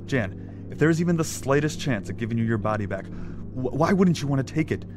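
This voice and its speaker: An actor playing a nervous, devoted lab assistant in an audio drama, speaking earnestly and with hesitant stammers. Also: male voice, medium pitch, lively intonation, fairly quick, over music, close to an everyday voice. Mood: pleading, insistent.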